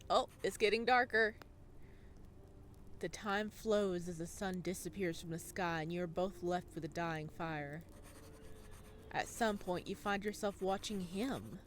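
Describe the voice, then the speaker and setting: A playful, expressive woman streamer reading a visual novel aloud and adding her own reactions. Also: on the low side, a little slow, a little hiss or hum. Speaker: video game streamer.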